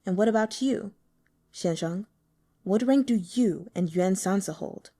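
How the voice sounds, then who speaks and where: A woman voicing a calm, shrewd, frail young male strategist who speaks in a measured, guarded way. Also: medium pitch, lightly acted.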